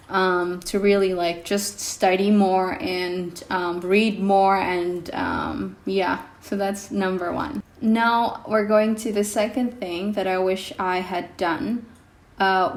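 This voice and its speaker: A young woman vlogger whose first language is Indonesian, talking casually to her viewers with many pauses and ums. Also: mid-range voice. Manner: hesitant, many ums, reflective. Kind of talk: casual vlog talk to camera. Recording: echoey room with faint music.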